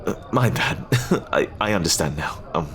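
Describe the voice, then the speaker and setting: A man playing an earnest, lovestruck pirate captain in an ASMR roleplay, speaking haltingly and tenderly in formal, old-fashioned phrases. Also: deep voice, expressive, fairly bright tone. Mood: flustered. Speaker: audio roleplay performer.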